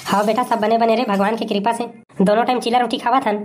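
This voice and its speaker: Casting: woman for cantankerous elderly male villager, raised pitch, rustic colloquial manner, Chhattisgarhi accent, comic cartoon. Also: steady tone, fast talker, darkish tone, a little hiss or hum, close to an everyday voice. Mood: content. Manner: grumbling, threatening, colloquial. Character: irritable, liquor-greedy old village man.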